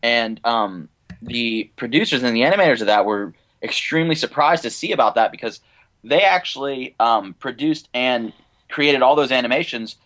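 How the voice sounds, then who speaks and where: A man's voice: mid-range voice, lively intonation, normal speed, a bit bright, rough recording. A young American man chatting casually as a podcast co-host, in an opinionated, rambling fan manner. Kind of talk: casual panel chat about films and animation.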